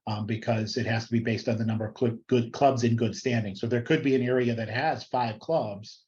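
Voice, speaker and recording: A man's voice: medium pitch, steady tone, fairly quick, a little mellow. A man in an official role informally explaining rules on an online call. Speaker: program quality director of a club district. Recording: dull, lo-fi online call audio.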